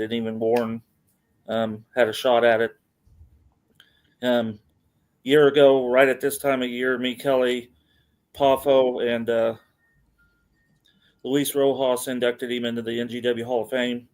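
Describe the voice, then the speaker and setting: A middle-aged man hosts a casual wrestling talk show. His pitch is average, and he reads through announcements and results in a halting, informal way, feeling for the next item as he goes. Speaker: podcast host. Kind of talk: casual wrestling news rundown.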